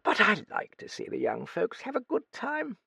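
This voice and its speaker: A man doing a gruff old lady, blustery and high. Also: huge pitch swings, normal speed, sharp tone, dry recording, clean studio sound, heavily acted. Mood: bluff, gossipy. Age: older. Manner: blustering, swearing, hearty. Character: gruff old Australian-born woman, blunt and cheeky.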